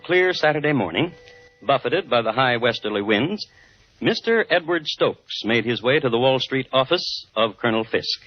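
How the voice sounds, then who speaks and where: An actor playing a wry, dry crime-story host, narrating in 1950s radio style. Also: male voice, mid-range voice, lightly acted. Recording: muffled old radio broadcast. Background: faint music.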